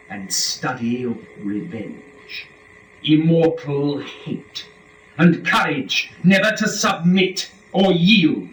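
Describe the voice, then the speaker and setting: In a roomy, distant recording, a proud fallen angel, a male rebel lord of hell, declaiming verse grandly and slowly. The pitch swings widely as the defiant speech swells and drops. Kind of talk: dramatic reading of epic verse. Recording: roomy, distant mic, faint noise.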